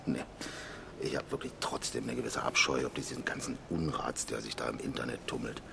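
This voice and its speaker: An actor playing a middle-aged conservative politician in a radio drama, reserved and courteous, speaking in formal, hesitant phrases. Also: male voice, very deep voice, a little fast, vocal fry, noisy background, exaggerated voice. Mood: reserved, disapproving. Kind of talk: naturalistic radio drama dialogue.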